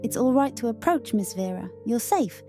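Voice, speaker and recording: A woman playing a gentle, remorseful young fighter-plane spirit in an audio drama, speaking softly, earnestly and haltingly. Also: on the high side, lively intonation, faint music behind, natural voice.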